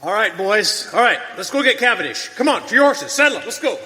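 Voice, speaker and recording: An actor playing a resolute Texas Ranger captain in a western radio drama, hearty and commanding. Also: male voice, sits high, very animated, a little fast, bright, forceful tone, exaggerated voice. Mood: brisk, commanding. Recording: long hall reverb, faint noise.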